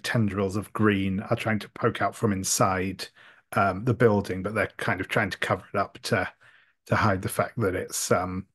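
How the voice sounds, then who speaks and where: A husky-voiced man improvising a scene as a game player, searching for words. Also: on the low side, a bit bright, dry recording. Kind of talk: casual improvised scene description.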